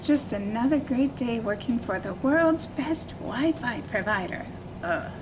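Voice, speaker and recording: A woman's voice: a little high, expressive, measured pace, background noise. A woman playing a blunt, easygoing call-centre colleague who teases casually in an audio drama. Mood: easygoing, wry. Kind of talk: naturalistic audio drama acting.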